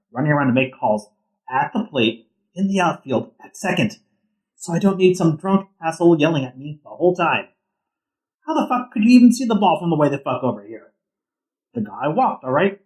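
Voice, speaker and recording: High-pitched exasperated young male umpire, echoey. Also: darkish tone. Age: 30s. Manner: blunt, exasperated, sarcastic. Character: exasperated, sarcastic umpire in his early thirties. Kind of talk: naturalistic audio drama acting. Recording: roomy, echoing sound.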